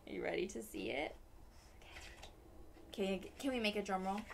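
A woman's voice: medium pitch, animated, moderate pace, gravelly, slight background noise. A woman in her twenties reading a storybook aloud expressively, with asides to young children. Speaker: children's read-aloud host. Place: small decorated room indoors.

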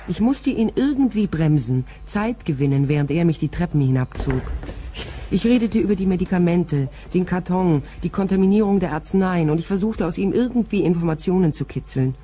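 A woman's voice: fairly low pitch, expressive, a little fast, warm tone, breathy edge. An actress playing a tough, wry private detective who tells her case in controlled, vivid first-person crime drama narration. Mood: tense.

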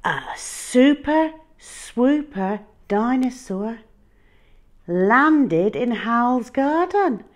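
A woman storyteller reading a picture book expressively, with a dramatic, drawn-out delivery for young children. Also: a little high, lively intonation.

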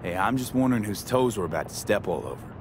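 A man's voice: lowish voice, animated, fast talker, natural voice. An actor playing a wry, streetwise young mercenary man in a video game, teasing and slangy in casual banter. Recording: clear vehicle and engine noise.

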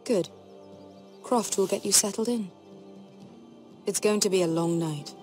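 A woman playing a stern, regal young queen in a video game, speaking in a measured, commanding way over music. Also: medium pitch, dark tone, natural voice. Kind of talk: dramatic video game voice acting.

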